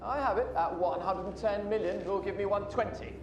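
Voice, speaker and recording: Guy doing an auctioneer rattling off bids, high voice, far from the mic, noisy echoey hall. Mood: brisk, businesslike. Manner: rapid, projected auction patter. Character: brisk auctioneer calling enormous bids. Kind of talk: audio drama auction calling. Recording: distant echoey hall with background noise.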